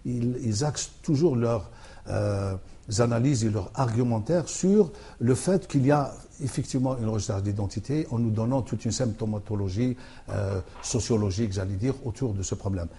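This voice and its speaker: A man being interviewed about his books, speaking in a halting way with many restarts and fillers as he thinks aloud. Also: medium pitch, warm tone, breathy edge. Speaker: author, interview guest. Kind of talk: hesitant conversational interview.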